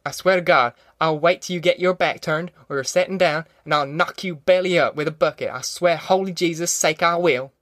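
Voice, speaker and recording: A man's voice: fairly high pitch, fairly bright tone, no room sound, studio quality, pitched above the performer's own voice, natural voice. A man voicing a fierce, stubborn middle-aged rural mother with a rural Southern American accent, in an audiobook. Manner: folksy dialect, defiant, threatening. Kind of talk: audiobook character acting.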